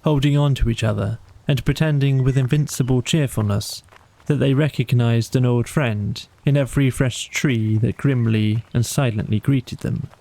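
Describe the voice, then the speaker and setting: A male audiobook narrator reading a bedtime story. His voice is mid-pitched and mellow with a gravelly creak, recorded dry. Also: low-quality recording.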